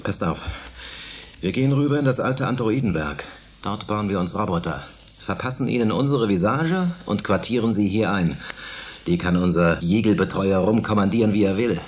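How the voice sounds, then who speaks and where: Man acting impulsive space pilot, swinging low voice. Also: expressive, normal speed, a little hiss or hum, close to an everyday voice. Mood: eager, persuasive. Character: Jan, impulsive, optimistic male space pilot plotting an escape. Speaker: radio drama actor. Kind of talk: German science fiction radio play acting.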